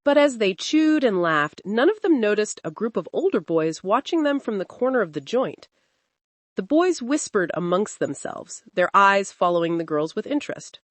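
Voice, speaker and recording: A woman storyteller giving an expressive, suspenseful narration of a scripted dramatic folktale. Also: medium pitch, normal speed, dry recording.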